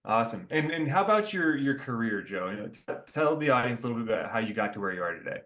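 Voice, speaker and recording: Male podcast host, mid pitch, upbeat intros, conversational. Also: big pitch swings, fast talker, pushed and bright. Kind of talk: podcast hosting.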